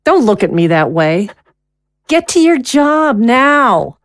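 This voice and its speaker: A woman's voice: fairly high pitch, sing-song, takes it slowly, a little hiss or hum. An actress playing a bossy, impulsive, childish wife in her thirties in an audio drama, with a demanding, emphatic delivery. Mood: bossy, scornful.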